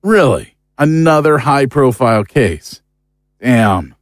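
A man's voice: medium pitch, big pitch swings, takes it slowly, dry recording, studio quality. A man playing a blunt, informal private investigator, an ordinary world-weary guy, in a naturalistic audio drama. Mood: upbeat, celebratory. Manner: blunt, informal, matter-of-fact.

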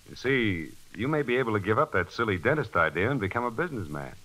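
Brash American boss, lowish and animated. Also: male voice, fast, full character voice, poor audio. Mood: bossy. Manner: blustering, condescending. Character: brash, smug, bossy young factory boss. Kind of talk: 1940s radio acting. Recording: 1940s radio broadcast.

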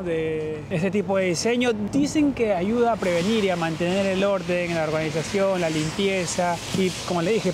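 A man's voice: high-pitched, noisy background. A man in his thirties with a Peruvian accent, explaining things casually to his viewers as a travel vlogger. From Peru. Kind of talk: explanatory vlog narration, casual talk to viewers. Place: mostly outdoors on city streets. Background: background music.

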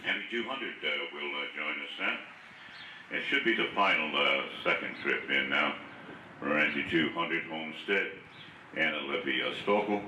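Very deep-voiced man over an echoey PA. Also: expressive, normal speed, pushed and bright. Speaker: horse show announcer. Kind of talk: public-address announcing of riders and results. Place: outdoor horse show arena, heard over loudspeakers. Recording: echoey distant outdoor PA, noisy.

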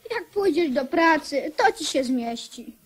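A woman playing an earnest young boy who gently admonishes, in a radio drama. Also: high voice, expressive, unhurried. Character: earnest young boy, a former king turned worker. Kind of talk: radio drama acting with narration.

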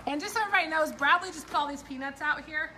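A young woman chats her way through an auction preview, upbeat and teasing. Her voice sits high. Steady background noise runs under the phone recording. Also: rapid-fire. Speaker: auction company host. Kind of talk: casual livestream walkthrough. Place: auction storage shed, walking among items.

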